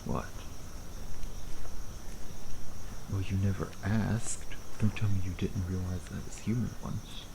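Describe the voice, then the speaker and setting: A man playing a sardonic, teasing vampire in an intimate ASMR roleplay, with a low, gravelly voice and an unhurried, predatory manner. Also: flat delivery, noisy background. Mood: mocking. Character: teasing, sardonic male vampire, a century old, youthful predator.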